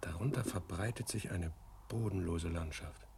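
A wondering, uneasy man in a seventies science fiction radio play. His voice is low and gravelly, his phrasing poetic, on a noisy old recording. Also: moderate pace, a little mellow. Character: wondering, uneasy survivor gazing at desolate land. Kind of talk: 1970s German radio drama acting.

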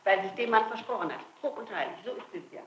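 A woman's voice: mid-range voice, animated, fast talker. A middle-aged woman being questioned on an old interrogation tape, answering defensively and insistently, breaking off and repeating her phrases. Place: indoor room, archival interrogation tape. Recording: muffled, noisy 1960s tape in an echoey room.

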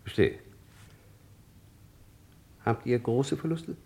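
A man's voice: medium pitch, slow pace, vocal fry, a little mellow. An actor playing an older businessman father back as a ghost, clipped and matter-of-fact, in a vintage radio crime play. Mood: terse, weary. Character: dead businessman father returned as a terse ghost. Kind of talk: vintage German radio crime drama acting.

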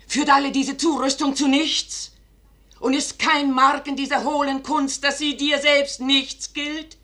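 An actress playing a forceful, scheming noblewoman in a 1950s German radio drama, declaiming insistently in classical stage style with a husky voice. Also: high-pitched. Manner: rhetorical, insistent, theatrically declaimed. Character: forceful, scheming noblewoman urging a wavering commander to seize power.

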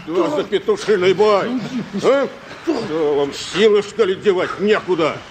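An actor playing a stern but fair farm boss in a radio play, brisk, questioning and commanding. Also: male voice, high voice, animated. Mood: stern, demanding. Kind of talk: Soviet radio play acting. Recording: noisy vintage radio drama recording.

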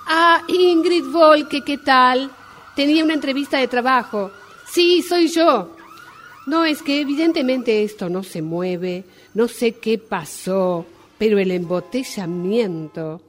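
A woman plays a flustered job applicant in a radio play. She speaks into the phone in a fairly high voice, polite, hesitant and apologetic. Faint street noise and sirens run behind her. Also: takes it slowly, a bit bright. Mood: flustered, pleading. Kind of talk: radio drama acting, one-sided phone call. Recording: amateur workshop recording, sirens and traffic noise.

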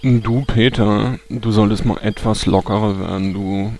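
A man playing a gravelly laid-back softie. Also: fairly low pitch, steady tone, unhurried. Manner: drawling, filler "du" and "ne". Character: mellow laid-back male social worker type. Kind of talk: comedy audio drama.

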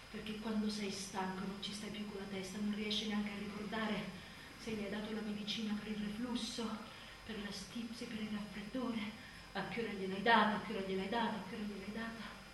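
A woman's voice: mid-range voice, lightly acted. An actress playing an exhausted, anxious young mother in a confessional radio theatre monologue, moving between intimate confession and sardonic outburst. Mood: weary, subdued. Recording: very echoey stage, distant mic, faint noise.

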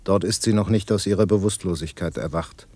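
A man's voice: low-pitched, fairly quick, a little mellow, no echo. An actor playing an impassive man reciting matter-of-fact, news-like statements in a radio play. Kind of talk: radio play collage, mock news bulletin.

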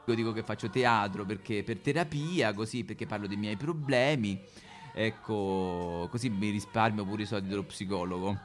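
Low-pitched Roman man, music behind. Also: normal speed. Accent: Roman Italian. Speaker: comic stage actor. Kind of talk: casual, self-mocking comic talk.

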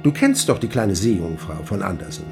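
An actor playing a mocking, verbose devil in a radio play, with theatrical, wheedling delivery and pompous old-fashioned phrasing. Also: male voice, on the low side, very animated, a little fast, over music. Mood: sly, needling. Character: mocking, verbose devil, a tempter selling time. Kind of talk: German radio play acting.

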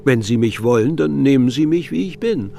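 A defiant, stubborn figure in a radio drama. His mellow, gravelly voice swings widely in pitch with emphatic phrasing, over faint music. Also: expressive, moderate pace. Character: defiant, resigned man refusing to pack. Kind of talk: German radio play acting.

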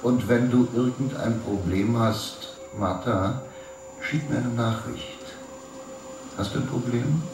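An actor playing a polished, teasing city official who talks like a politician over a video screen in a radio drama. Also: male voice, mid-range voice, takes it slowly. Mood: teasing, soothing. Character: Nico, smooth, evasive city official charming a friend. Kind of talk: German radio drama acting. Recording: roomy, distant, faintly noisy sound.

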